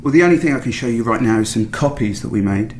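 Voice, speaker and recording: An actor playing a police detective in a naturalistic radio thriller, casually chatty but probing and quietly insinuating. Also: male voice, mid-range voice, expressive, quick pace, slight background noise, close to an everyday voice. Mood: probing, matter-of-fact. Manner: casual but pointed, questioning.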